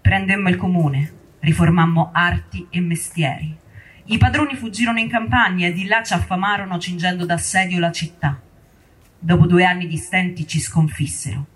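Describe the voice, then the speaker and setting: Proud medieval worker rebel male actor, high-pitched, husky, declamatory theatre storytelling. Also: steady tone, normal speed, a bit bright, poor audio. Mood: proud, grave. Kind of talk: theatrical recited monologue.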